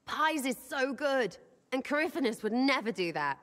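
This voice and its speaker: A woman's voice: sits high, very animated, normal speed, fairly bright tone, long reverb tail. A woman playing a mischievous, blunt young elven rebel in a video game, with an English accent and a cheeky, slangy, irreverent way of talking. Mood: gleeful.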